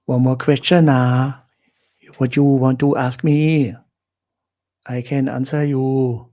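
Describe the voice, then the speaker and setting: A man's voice: medium pitch, little pitch movement, slow pace, mellow. A man from Germany with a German accent, hosting a casual live Q&A for viewers. He hesitates and searches for words, then drops short, blunt exclamations. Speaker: livestream host answering viewer questions.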